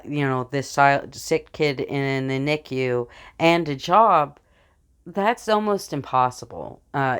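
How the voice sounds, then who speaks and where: A woman's voice: rumbling low, clear, resonant tone. An American woman giving an informal political speech from notes in an earnest, explanatory manner. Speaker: speaker announcing a political platform.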